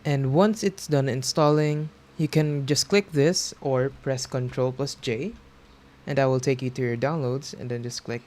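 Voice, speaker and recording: A man's voice: mid-range voice, steady tone, a little slow, a little mellow. A man hosting a tutorial, explaining steps in a casual, instructional way. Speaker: tutorial host. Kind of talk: casual software tutorial narration.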